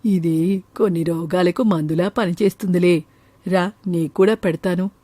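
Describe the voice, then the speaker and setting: A woman voicing a kindly old village grandmother in an animated moral story. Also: on the low side, normal speed, dry recording, natural voice. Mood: caring.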